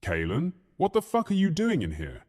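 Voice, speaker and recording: An actor playing a hot-headed, crude and loyal castle warrior in a fantasy audio drama, blustering and mocking. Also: male voice, fairly high pitch, wildly swooping pitch, normal speed, a little mellow. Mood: urgent.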